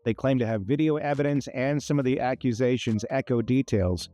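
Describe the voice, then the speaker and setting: A man's voice: mid-range voice, a little fast, mellow. A man delivering a scripted news report.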